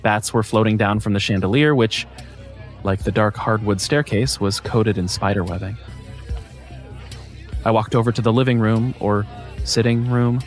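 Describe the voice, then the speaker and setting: A man narrating a first-person scripted story in a measured, wry way. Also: fairly low pitch, soft background music.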